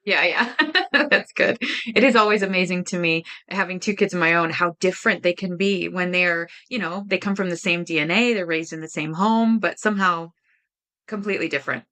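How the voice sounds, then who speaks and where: Bright, lowish-voiced woman interviewer. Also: fairly quick. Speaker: podcast host, interviewer. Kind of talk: conversational interviewing.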